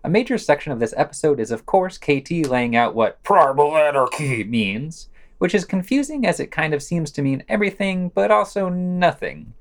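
Wry man with a lively, swooping delivery. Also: mid-range voice. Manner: sarcastic, wry. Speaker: podcast presenter. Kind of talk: scripted news roundup commentary.

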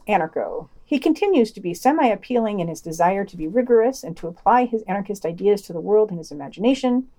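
A woman's voice: a little high, a little mellow. A woman hosting a podcast, reading scripted commentary and quotations with wry, dry asides. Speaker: podcast host.

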